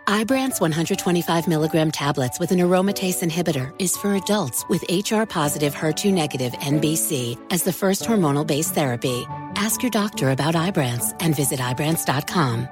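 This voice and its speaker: A woman reading a pharmaceutical ad and its safety disclaimers in an even, measured way. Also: sits low, music in the background. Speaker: ad narrator.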